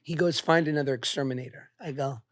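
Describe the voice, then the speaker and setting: Slightly hoarse man, playful podcast host. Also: fairly high pitch, quick pace, no echo, studio quality. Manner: playful, teasing, laughs often; tells anecdotes in an animated way. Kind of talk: casual chat.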